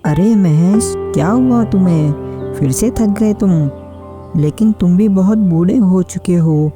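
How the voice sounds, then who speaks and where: A woman's voice: mid-range voice, unhurried, dark tone, close to an everyday voice. A woman voicing a hardworking, kind-hearted farmer husband in a cartoon story, speaking in an earnest, plaintive way. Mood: concerned, apologetic. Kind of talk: scripted cartoon story acting. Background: background music.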